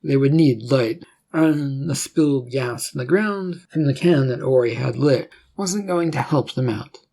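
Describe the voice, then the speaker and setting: A man's voice: medium pitch, a little slow, vocal fry, dark tone. A man reading scripted fiction as a narrator, telling the story in a dramatic way.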